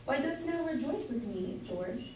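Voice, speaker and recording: A woman's voice: high-pitched, lively intonation, unhurried, warm tone. A woman playing a chatty, opinionated citizen's wife in an amateur staged reading of an old English comedy. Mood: approving. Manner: chatty, bossy, archaic English. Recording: very echoey room, distant mic, faint noise.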